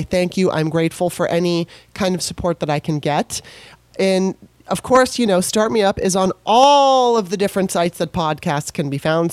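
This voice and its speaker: A woman's voice: fairly low pitch, darkish tone, clear, resonant tone, dry recording. A woman hosting a podcast, chatting casually, rambling a little with many fillers and laughing easily. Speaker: podcast host. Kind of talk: casual interview chat.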